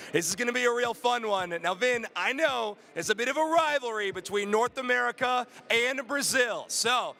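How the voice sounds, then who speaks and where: A man's voice: very high-pitched, pushed and bright, a little hiss or hum. A man hosting a live esports event on stage, upbeat and hyping the crowd as he interviews players.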